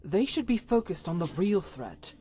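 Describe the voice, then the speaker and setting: A woman's voice: medium pitch, very animated, moderate pace, warm tone, soft background music, natural voice. A woman playing a devout, stern warrior companion in a fantasy game, speaking solemnly in formal, archaic phrases. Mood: exasperated.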